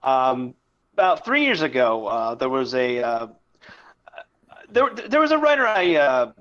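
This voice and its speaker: A man in his thirties hosting a casual podcast chat, telling a long story in a halting, rambling way. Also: medium pitch, sing-song, fairly bright tone, lo-fi sound. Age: 30s. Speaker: podcast host and publisher.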